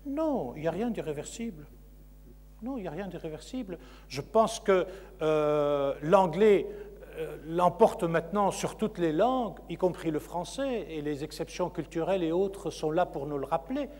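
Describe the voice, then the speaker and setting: Man, university lecturer, Q&A answers, halting with fillers, slightly high voice, reverberant hall. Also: expressive, a little mellow. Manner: hesitant, many fillers and repeated words. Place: conference hall.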